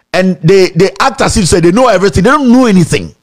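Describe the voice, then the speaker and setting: Young Ghanaian male host, high, lively voice, sarcastic and animated, slips between English and Twi. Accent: Ghanaian, mixing English and Twi. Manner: animated, sarcastic, breaks into laughter and sing-song mockery. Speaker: commentary show host. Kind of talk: animated commentary. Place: indoor studio set.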